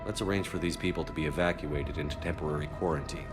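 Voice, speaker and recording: A man's voice: a bass voice, fast talker. A man playing a determined military commander in a video game, authoritative and clipped. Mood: grim, determined. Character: commanding male military officer, brisk, determined leader. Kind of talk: dramatic video game acting. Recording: loud music and game sound behind. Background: game music.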